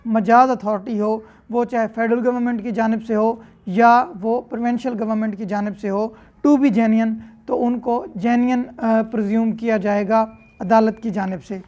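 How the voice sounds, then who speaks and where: A woman's voice: a little high, darkish tone. A woman with a Pakistani accent lecturing, reading out formal clauses and explaining them in a didactic way, rephrasing each point. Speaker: law lecturer.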